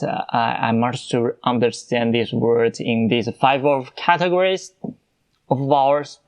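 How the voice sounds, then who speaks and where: A man hosts a solo podcast, talking off the cuff in English, his after Mandarin. He speaks slowly and haltingly, stopping to search for words and repeating himself. His voice sounds smooth and clear. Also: medium pitch, poor audio. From China. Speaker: podcast host. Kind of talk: unscripted solo podcast talk.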